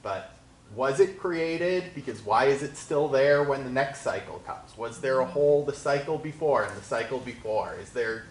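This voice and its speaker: A man's voice: mid-range voice, moderate pace. Thoughtful, questioning man. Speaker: audience member giving feedback. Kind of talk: casual discussion. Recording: echoey room with faint noise.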